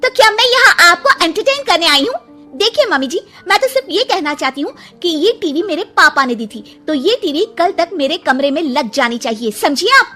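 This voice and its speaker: A woman's voice: very high voice, very animated, normal speed, pushed and bright, faint music behind, full character voice. A woman voicing a curt, arrogant young daughter-in-law in an audio story, snappy and dismissive. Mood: irritated, dismissive.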